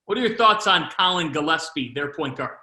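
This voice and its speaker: Male sports interviewer, high bright voice, lively questions, roomy echo. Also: brisk. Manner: lively, shifts pace within questions.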